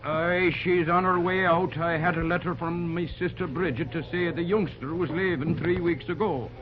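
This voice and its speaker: A man's voice: fairly high pitch, steady tone, moderate pace, close to an everyday voice. An actor playing a plain-spoken Irish railroad man, with a thick Irish accent and a teasing manner, in 1940s radio acting. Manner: Irish turns of phrase, easygoing and teasing. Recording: dull old radio recording with hiss.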